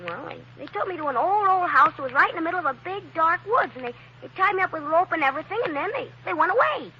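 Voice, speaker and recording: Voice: extremely high pitch, expressive, fast talker, sharp tone, lo-fi sound, exaggerated voice. An actor playing a mischievous, talkative little boy in a 1940s radio drama, with naive, chatty phrasing. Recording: old radio recording, faint noise.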